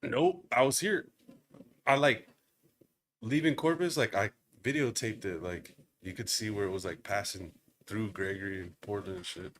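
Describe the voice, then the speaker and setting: Excitable Texan man, full of slang. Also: lowish voice, moderate pace, slight background noise. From Texas. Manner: excitable, slangy, calls people bro. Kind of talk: casual podcast chat.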